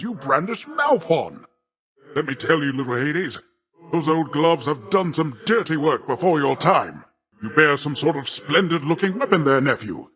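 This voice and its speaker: A mighty, self-important god of the sea for a video game. His voice sits somewhat high for a male, and he speaks with hearty, pompous theatricality, like a boastful uncle addressing his nephew with grand formality and a short temper. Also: fairly bright tone. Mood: hearty, encouraging.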